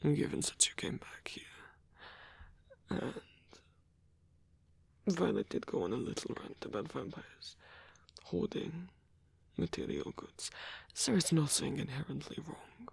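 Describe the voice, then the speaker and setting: Man voicing a whispery, halting old vampire. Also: on the high side, sing-song, no room sound, full character voice. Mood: shy, inviting. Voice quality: soft, breathy, whispery, creaky. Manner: halting, hesitant, musing. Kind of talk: ASMR roleplay audio addressed to the listener.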